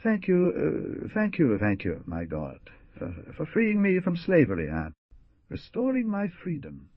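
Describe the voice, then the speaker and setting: A man's voice: medium pitch, very animated, normal speed, breathy edge, dry recording, voice pushed up. A man voicing a newly freed man who is overjoyed and grateful, speaking in fervent, pleading exclamations. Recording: dull lo-fi recording.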